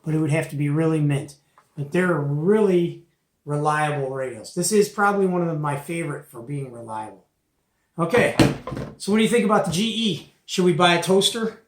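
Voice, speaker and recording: A man's voice: fairly high pitch, expressive, a little fast, slight background noise. A middle-aged man giving a casual, folksy and opinionated product show-and-tell as the host. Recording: echoey, roomy home recording.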